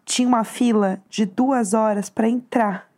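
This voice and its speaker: A Brazilian woman co-hosting a casual podcast, hoarse from losing her voice, chatty and telling stories with acted-out quotes. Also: fairly high pitch, steady tone, measured pace, a little mellow. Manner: chatty, storytelling, acts out quotes. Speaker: podcast co-host. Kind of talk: casual chat.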